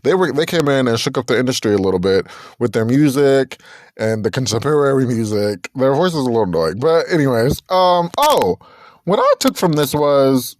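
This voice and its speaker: A man's voice: medium pitch, sing-song, normal speed, no echo. A man in his twenties hosting a casual solo podcast, chatty and rambling, correcting himself as he goes and breaking into snatches of songs. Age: 20s. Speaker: podcast host.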